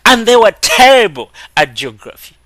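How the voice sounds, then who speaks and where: A man from Kenya hosting a casual reaction video. He talks in an animated way and breaks into laughter when he makes a point. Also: very high voice, moderate pace.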